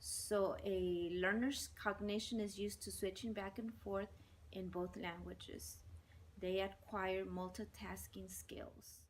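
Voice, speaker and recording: Woman, 40s, Spanish native speaker, measured and deliberate, mid pitch, faint background ambience. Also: fairly even intonation, a little hiss or hum, poor audio. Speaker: bilingual ESL teacher. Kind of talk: interview answers.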